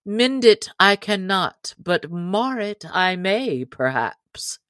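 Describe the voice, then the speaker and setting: A woman's voice: medium pitch, sing-song, slow pace, fairly bright tone, no echo, clean studio sound. A woman voicing a jolly, bold male outlaw leader who speaks in hearty, commanding old-fashioned speech. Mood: amused.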